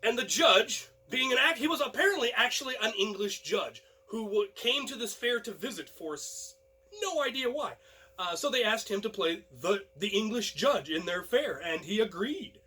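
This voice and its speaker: A man telling a personal anecdote casually, in an animated storyteller's way. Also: high-pitched, moderate pace, slightly rough, low-quality recording. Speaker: storyteller, host of a story series.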